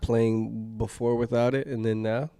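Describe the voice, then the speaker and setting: A man's voice: mid-range voice, little pitch movement, unhurried, warm tone, clear, resonant tone, dry recording. A young man co-hosting a podcast, asking questions in a laid-back, profane way. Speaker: podcast co-host. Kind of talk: casual chat.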